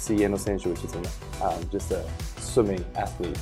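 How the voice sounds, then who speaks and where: A man in his thirties, a podcast guest, talking in a thoughtful, relaxed way with many fillers and restarts. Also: deep voice, moderate pace, music in the background. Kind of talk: casual interview.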